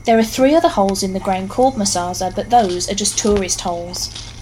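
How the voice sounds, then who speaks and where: A woman's voice: medium pitch, a little fast, a little mellow, slight background noise, low-quality recording. A woman narrating a scripted story in a wry, conversational way with knowing asides.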